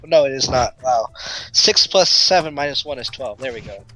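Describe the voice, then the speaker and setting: A man's voice: medium pitch, expressive, normal speed, pushed and bright, rough recording. A man chatting casually as a player in a tabletop game. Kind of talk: casual chat during a game.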